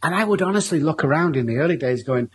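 Husky-voiced man with a Manchester accent. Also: a little high, lively intonation, quick pace. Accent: Mancunian English. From Manchester, England. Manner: candid, rambling storytelling with repeated restarts. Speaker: guest. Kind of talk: interview storytelling.

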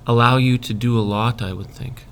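A man interviewing a graduate student, asking measured, friendly questions. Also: medium pitch, steady tone, a bit bright. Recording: faint background noise.

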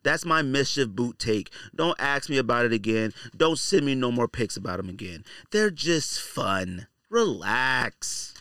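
A man's voice: medium pitch, very animated, unhurried, few pauses, pushed and bright, clean tone, dry recording, studio quality. An American man hosting a podcast solo, talking casually in a slangy, conversational, emphatic way. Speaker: sneaker podcast host.

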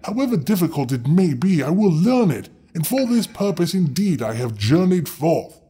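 A man's voice: on the high side, very animated, normal speed, dark tone, husky, soft background music. A man voicing a fearless, simple young man in a storybook tale, earnest and wistful, with archaic phrasing. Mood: determined.

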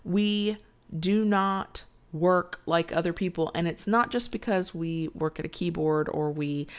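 A woman's voice: on the low side, unhurried. A woman hosting a casual late-night podcast, stuffed up and nasal from a sinus cold, tired and chatty, rambling and often restarting her sentences. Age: adult with children. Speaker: podcast host.

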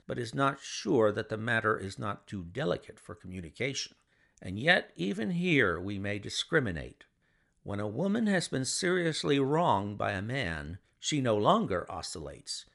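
A man voicing a cool, shrewd detective who speaks in a measured, precise way. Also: medium pitch, gravelly, a bit bright, heavily acted.

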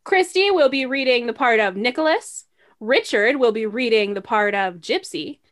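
A woman's voice: on the high side, animated, normal speed, a bit bright. A woman hosting a podcast, delivering scripted narration as the narrator. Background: music.

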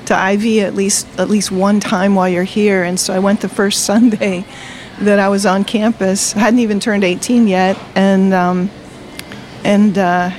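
A woman's voice: medium pitch, level tone, moderate pace, darkish tone, a little hiss or hum. A woman in her sixties from Delaware, a retired teacher, telling her faith story cheerfully and laughing often in a coffee shop. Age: 60s. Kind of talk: personal testimony in conversation.

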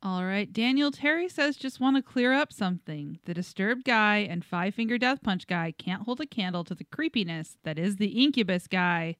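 A woman's voice: mid-range voice, lively intonation, moderate pace, hardly any pauses, fairly bright tone, smooth, no echo, studio quality. A woman co-hosting a podcast, reading listener comments aloud and chatting in an informal, joking way. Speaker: podcast co-host. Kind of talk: casual podcast chat, reading out listener feedback.

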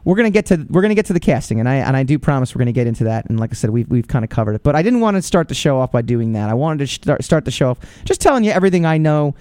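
A man's voice: medium pitch, big pitch swings, very fast, hardly any pauses, dark tone, no echo. A man hosting a podcast, giving casual, opinionated commentary with lots of fillers and restarts. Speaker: podcast host.

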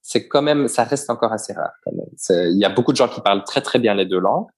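A man's voice: fairly low pitch, animated, quick pace, slightly rough. A young man with a Belgian French accent, bilingual, answering interview questions about his embassy job at length, with many hesitations and restarts. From Belgium, grew up in Flanders. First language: bilingual French and Dutch. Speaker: embassy staff member, interviewee.

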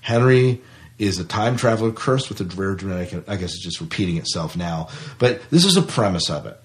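A man's voice: low voice, expressive, a little fast. A man co-hosting a podcast, talking casually about films and reading out reviews. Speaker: podcast co-host.

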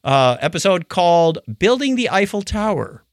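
An American man co-hosting a podcast in casual, jokey chat with an animated delivery. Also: high voice, normal speed, dry recording, studio quality. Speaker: podcast co-host.

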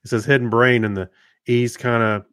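A man's voice: mid-range voice, flat delivery, moderate pace, a bit bright, clear, resonant tone, dry recording, studio quality. A middle-aged man co-hosting a podcast in casual chat, talking in a rambling way with many restarts and fillers. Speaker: podcast co-host.

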